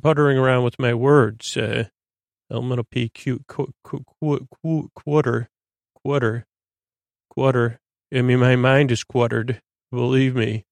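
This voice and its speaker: A man with a creaky voice hosting a bedtime podcast, soothing and meandering, stammering as he drifts off into tangents. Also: mid-range voice, no room sound. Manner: soothing, lulling delivery. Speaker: podcast host. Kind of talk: rambling bedtime monologue.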